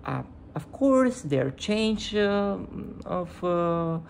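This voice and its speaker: A low-pitched woman speaking English with a Bulgarian accent, not her first language. She talks to her viewers in a slow, unhurried vlog style and stops often to hunt for the right word. A faint hiss sits under her voice. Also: very animated, gravelly, darkish tone. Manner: hesitant, searching for words. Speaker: YouTuber. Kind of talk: casual vlog commentary.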